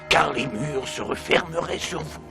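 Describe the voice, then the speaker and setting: A solemn cartoon ghost of a Moor, pitched high. He gives urgent, secretive instructions with music clearly playing behind him. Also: normal speed. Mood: grave. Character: solemn, insistent Moorish ghost bearing secrets. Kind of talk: French cartoon dub acting.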